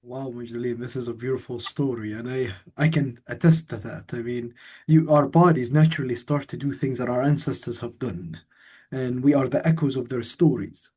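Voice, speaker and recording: A man hosting an interview, asking reflective questions in a soft, measured way. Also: medium pitch, breathy edge. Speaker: host.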